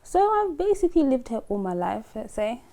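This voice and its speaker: A woman's voice: a little high, sing-song, fairly quick, mellow. A woman in her twenties, born in Trinidad and Tobago, chatting as a guest, joking loosely and laughing between words. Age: 20s. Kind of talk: casual chat.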